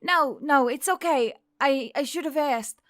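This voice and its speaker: A woman's voice: high voice, unhurried, fairly bright tone, pitched above the performer's own voice. A woman voicing a perky, easily hurt elf waitress in an audiobook-style story, with music behind her. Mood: flustered, apologetic. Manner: perky teasing, stammers apologetically when upset.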